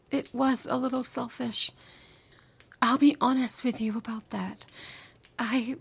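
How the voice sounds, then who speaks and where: Woman acting a breathy, high-voiced lovesick yandere girlfriend. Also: heavily acted. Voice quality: breathy, often dropping to a whisper. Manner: emotional, tender, halting. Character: lovesick yandere former bully, a woman returned from the future. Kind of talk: intimate ASMR roleplay acting.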